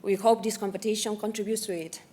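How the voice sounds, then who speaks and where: Event host, woman. Mellow, warm tone, formal delivery with small slips. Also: medium pitch, little pitch movement, fast talker.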